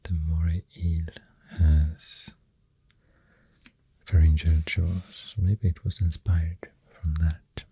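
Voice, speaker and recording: A soft-spoken ASMR host with a Swedish accent, rambling gently through facts in a hushed, breathy, whispery voice with unhurried pauses, close to the microphone. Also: very deep voice, level tone, a little hiss or hum. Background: pencil writing and clicking sounds.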